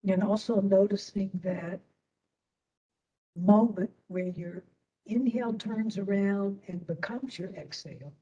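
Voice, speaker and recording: A woman instructor leading a guided meditation in a soothing manner, with long pauses between short phrases. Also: medium pitch, mellow, distant mic, muffled recording.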